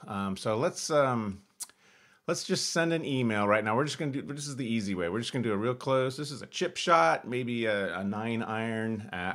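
A man's voice: fairly low pitch, fairly bright tone. An American man in his fifties giving a casual tutorial, thinking aloud as he narrates each step, recorded in a small room at home. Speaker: host of an amateur radio tutorial. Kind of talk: casual screen-share demonstration.

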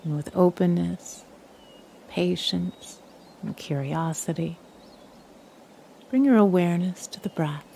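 A woman leading a guided meditation in a calm, soothing, measured way, leaving long pauses. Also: on the low side, gravelly, slight background noise.

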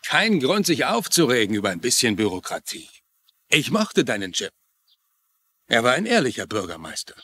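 A man's voice: medium pitch, very animated, moderate pace, a bit bright. An actor playing a condescending but outwardly reasonable lawman, admonishing people in a dubbed TV crime drama. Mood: patronising. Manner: patronising, admonishing.

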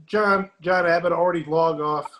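A man's voice: fairly high pitch, fairly even intonation, normal speed, a bit bright. A man chatting casually and jokily with a group over a video call.

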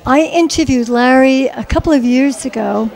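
A middle-aged woman holding a handheld mic, interviewing guests on the red carpet of an indoor gala. Her voice is high yet soft and mellow, and she asks her questions in an upbeat, affirming way. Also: moderate pace, vocal fry. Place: indoor gala press wall.

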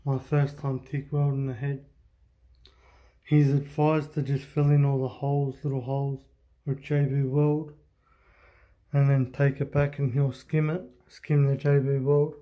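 A man, talking casually and haltingly about his workshop repair, with many pauses and ums, filmed close up on a handheld phone in an indoor workshop. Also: mid-range voice, level tone, dark tone, low-quality recording. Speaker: hobby boat mechanic. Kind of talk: casual workshop show-and-tell. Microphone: handheld phone camera, close-up.

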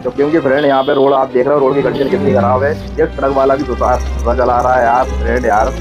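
Man, central Indian accent, rapid chatty motovlog commentary, fairly even intonation, repetitive, friendly address to viewers. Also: medium pitch, music in the background. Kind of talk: casual running vlog commentary while riding. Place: outdoors on a motorbike on a road.

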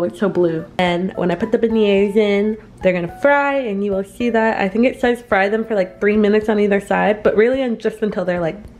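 A woman's voice: mid-range voice, a little mellow, echoey room. A young woman chatting casually and mocking herself as she cooks in a small home kitchen, filmed by a camera on the counter. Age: young adult. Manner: bubbly, chatty, self-mocking. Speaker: vlogger. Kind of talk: casual cooking vlog.

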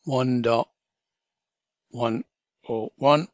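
A man with a mid-pitched voice walks through a software setup as a training instructor. He is informal and chatty, explaining each action step by step. The sound is dry, with very little room in it. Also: fairly even intonation, very slow, no room sound, lo-fi sound. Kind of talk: casual step-by-step software tutorial narration.

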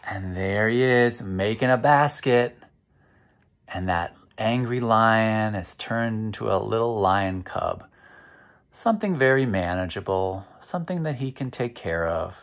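Slow-paced, expressive middle-aged American man. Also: on the low side. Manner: unhurried, explanatory, asks listeners questions. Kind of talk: read-aloud and gentle guidance for children. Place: small living room, indoors.

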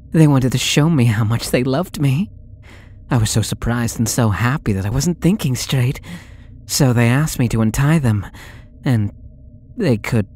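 A man's voice: mid-range voice, a little mellow, husky. A young man playing a possessive, lovesick stalker under interrogation in an ASMR audio roleplay, coy, pleading and theatrical, drawing out his words. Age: young adult.

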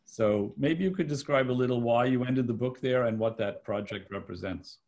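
A man's voice: medium pitch, moderate pace, a little mellow, lo-fi sound. A middle-aged man moderating a conversation on a video call, thoughtful and articulate, with occasional restarts. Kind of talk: interview moderation. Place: home study lined with bookshelves.

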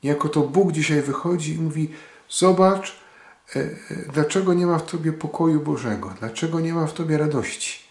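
A man in his forties, a priest, giving a short Sunday homily in slow, earnest speech with long pauses, filmed at a webcam in a small room. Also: a little high, a little mellow. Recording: echoey room.

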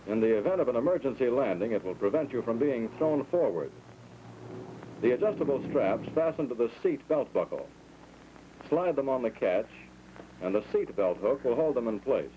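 American flight instructor on muffled, noisy 1940s film. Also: male voice, medium pitch, sing-song, moderate pace. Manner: clear, orderly step-by-step instructing.

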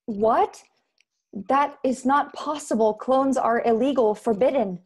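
A woman in her twenties playing an earnest, proud secret-society member in a science-fiction radio play, speaking in measured, formal phrases. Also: high voice, a little mellow, slightly rough. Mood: disbelieving. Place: bedroom on video call. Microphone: earbud headset.

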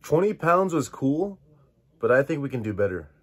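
A man's voice: medium pitch, very animated, moderate pace, slight background noise. A man in his thirties hosting a vlog in an informal, matter-of-fact way, recorded in a living room. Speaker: video host. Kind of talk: casual explainer vlog.

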